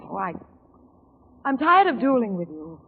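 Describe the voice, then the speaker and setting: A woman's voice: a little high, sing-song, measured pace, husky, full character voice. A woman playing an anxious, evasive widow in 1940s radio melodrama, with hesitant, dramatic phrasing. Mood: agitated, confiding. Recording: tinny, noisy old radio, hall reverb.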